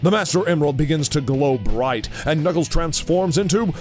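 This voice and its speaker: A man's voice: on the high side, animated, moderate pace, a little mellow. A man narrating a scripted audio drama story. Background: music.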